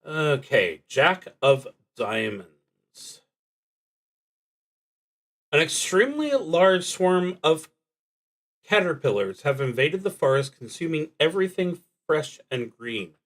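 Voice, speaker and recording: A man streaming a solo tabletop roleplaying game, reading prompts and thinking aloud in a halting, hesitant way that trails off. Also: on the high side, sing-song, a bit bright.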